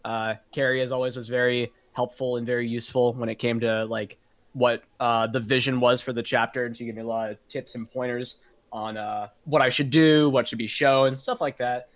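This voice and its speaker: A man's voice: mid-range voice, level tone, normal speed, muffled recording. A man talking casually as a panelist on a group podcast. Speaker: podcast panelist, fandub chapter editor.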